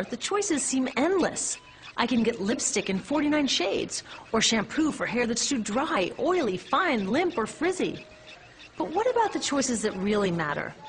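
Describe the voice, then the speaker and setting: A woman's voice: fairly high pitch, a little hiss or hum. A woman with an American accent presenting a scripted explainer in a conversational, wry way, often posing rhetorical questions. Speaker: narrator and presenter.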